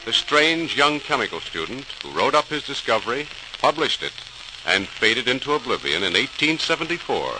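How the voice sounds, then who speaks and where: A man's voice: medium pitch, big pitch swings, normal speed. Male narrator for a vintage radio docudrama: formal, theatrical, widely swinging intonation, hissy old recording. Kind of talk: dramatic scripted 1940s radio narration.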